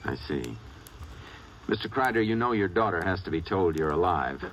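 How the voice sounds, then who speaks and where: An actor playing a steady, plain-spoken Texas Ranger with an American accent, laconic and calm, in 1950s radio drama style. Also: male voice, deep voice, moderate pace, muffled recording. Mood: calm, reasoning. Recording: very noisy old radio recording.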